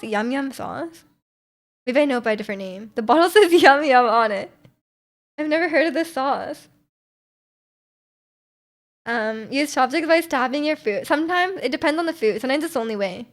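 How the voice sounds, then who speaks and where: Young woman streamer rambling at chat, a bit high-pitched, lots of likes and ums. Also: animated, normal speed, long pauses. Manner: rambling, many fillers and restarts, frequent laughter. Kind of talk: casual chat reading viewer comments.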